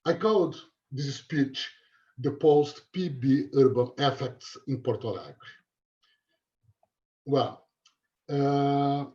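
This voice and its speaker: A man's voice: medium pitch, takes it slowly, pauses often, mellow. A man from Brazil, a native Portuguese speaker with a Brazilian Portuguese accent, giving a hesitant academic talk with many uhs over a video call. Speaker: guest lecturer. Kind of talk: academic presentation. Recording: dull lo-fi call audio.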